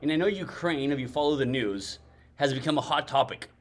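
Mexican man, Spanish native, mid-pitched, earnest pulpit delivery with repeats for weight. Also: a little fast. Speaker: missions ministry speaker. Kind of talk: sermon-style ministry talk.

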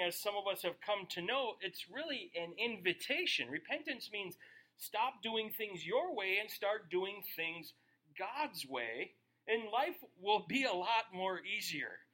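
A man's voice: high-pitched, bright, forceful tone, poor audio. A man preaching a sermon in a casual, joking way, often asking the audience questions. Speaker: pastor.

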